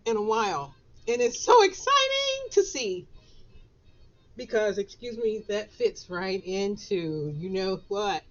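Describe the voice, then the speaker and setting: A woman in her sixties with a Southern US accent, raspy after a flu, chatting casually to camera from her home office. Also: mid-range voice, lo-fi sound. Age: 60s. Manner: chatty, drawn-out, laughs between phrases. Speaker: author and vlogger.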